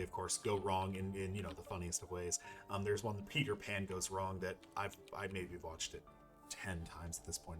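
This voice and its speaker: Casual, rambling male gamer over game music. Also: fairly low pitch. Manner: rambling, playful, profane, thinking aloud. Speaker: gaming YouTuber playing and commenting. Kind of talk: casual let's-play commentary.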